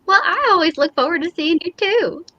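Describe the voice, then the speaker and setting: A woman's voice: very high voice, lively intonation, brisk, sharp tone, no echo, highs cut off, heavily acted. A woman playing a fussy, lovelorn and easily wounded mailman in old time radio comedy style, with dramatic indignation. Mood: lovestruck, wistful.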